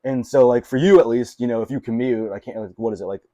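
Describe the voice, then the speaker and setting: A young man hosts a casual podcast conversation, talking in a loose, rambling way full of "like" and restarted phrases. His first language was Mandarin, though his speech here is relaxed everyday chat. The sound is close and dry, with no room echo. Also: mid-range voice, quick pace. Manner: rambling, filler-heavy, many restarts. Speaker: podcast host.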